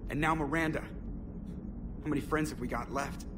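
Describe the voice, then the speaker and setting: Male actor as a higher-voiced pilot, wry and jittery, off-mic with noise. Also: fairly even intonation, far from the mic, lightly acted. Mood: distressed. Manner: quick, wry, sarcastic. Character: wisecracking male ship pilot, anxious.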